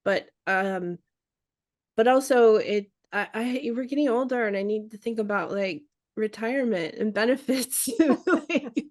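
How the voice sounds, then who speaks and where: A woman's voice: fairly high pitch, lively intonation, a little slow, darkish tone, no echo. Chatty woman in her forties, dry sound. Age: 40s. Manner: chatty, laughs often, many restarts. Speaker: podcast co-host. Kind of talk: casual chat.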